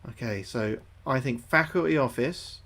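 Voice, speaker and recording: A man's voice: mid-range voice, moderate pace, fairly bright tone. A young man livestreaming a game, thinking aloud to his chat, sweary and repeating himself. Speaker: livestreaming gamer. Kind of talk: casual gameplay commentary to chat.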